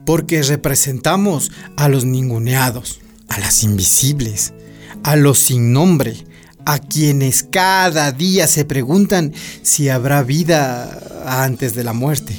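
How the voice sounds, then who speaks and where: A man's voice: medium pitch, slow, soft background music, close to an everyday voice. A man playing an idealistic, witty mayoral candidate being interviewed in a radio drama, folksy and earnest. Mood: impassioned.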